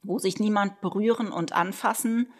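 A woman's voice: mid-range voice, steady tone, moderate pace. A woman speaking as a theatre director, informally explaining a play to her team. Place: home office video call.

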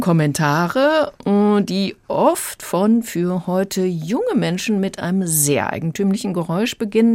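A woman's voice: medium pitch, darkish tone, no room sound. An older woman reviewing audiobooks in a radio conversation, explaining reflectively and thinking aloud. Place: dry studio.

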